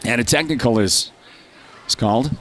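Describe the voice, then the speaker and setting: A man with a nasal, congested voice from a lingering cold, calling a live high school basketball game in a chatty way and raising his voice on big plays. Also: normal speed, a faint crowd. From Northern Arizona. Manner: chatty, rises to loud calls on big plays. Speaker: play-by-play announcer.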